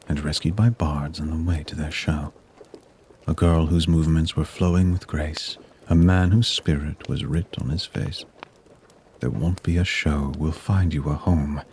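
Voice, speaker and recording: A man storyteller reading a rhyming Christmas poem in a solemn, measured way. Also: a bass voice, darkish tone, slight background noise. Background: music.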